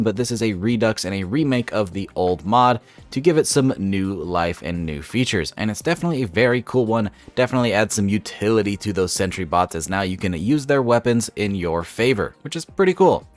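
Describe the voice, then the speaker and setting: A young man hosts a gaming video with an upbeat, casual voice-over, a bit stuffy from a cold. His voice sits fairly low, and soft music plays faintly behind him. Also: dry recording. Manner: upbeat, explains step by step. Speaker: gaming channel host. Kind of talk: casual game mod showcase voice-over.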